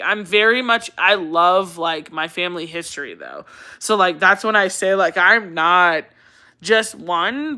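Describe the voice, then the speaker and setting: American woman, livestream host, bright clear voice, low for a woman, rambling casual chat. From Colorado, United States. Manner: rambling, chatty, repeats phrases for emphasis. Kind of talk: casual livestream chat, answering viewer comments.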